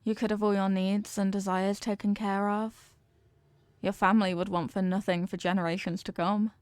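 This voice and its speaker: An evil queen, played by a woman in a scripted audio roleplay, speaks with formal, measured authority. She is possessive and obsessively in love, with menace veiled under courtly manners. The sound is dry, with very little room in it. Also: medium pitch, flat delivery, darkish tone, no echo, close to an everyday voice. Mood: solemn devotion. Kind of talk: scripted roleplay monologue, ASMR audio drama.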